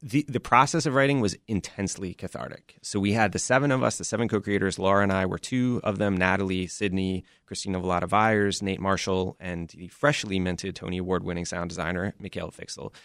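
A man's voice: deep voice, steady tone, fairly quick, few pauses, a bit bright, no room sound, studio quality. A man speaking as a producer and interview guest, explaining things earnestly and emphatically in a conversational way. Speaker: audio drama founder and producer, interview guest.

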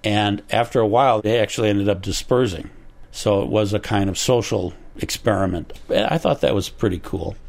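A man's voice: on the low side, normal speed. A man in his sixties with an American accent, talking casually in an interview. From Milwaukee, United States. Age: 60s. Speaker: pirate radio operator being interviewed.

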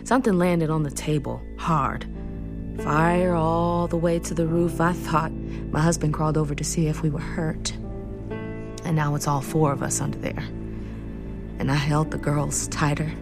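Casting: haunted young mother, low voice, intimate reflective radio drama monologue over music. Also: steady tone, normal speed, vocal fry. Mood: resigned, grieving. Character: haunted young mother recalling terror, resigned and tender. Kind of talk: first-person dramatic monologue.

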